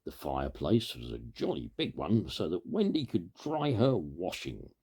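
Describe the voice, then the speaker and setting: A man with a very low, creaky voice reads a children's story at an unhurried pace. His pitch swings widely as he moves between informal asides and animated, husky storytelling. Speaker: storybook reader.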